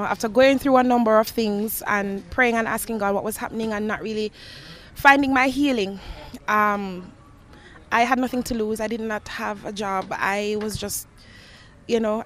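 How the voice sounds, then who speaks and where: A young woman with a Jamaican accent giving earnest, reflective answers in an interview, as a gospel singer. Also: mid-range voice. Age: young adult. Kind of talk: interview answers, personal testimony. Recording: noisy location with ambient sound.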